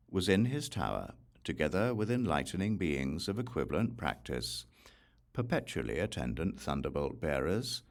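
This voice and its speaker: A man with a very low voice narrates a sacred Buddhist text as an audiobook. His pitch moves in lively swings while the delivery stays measured and solemn. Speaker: audiobook reader. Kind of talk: scripted narration of Buddhist scripture.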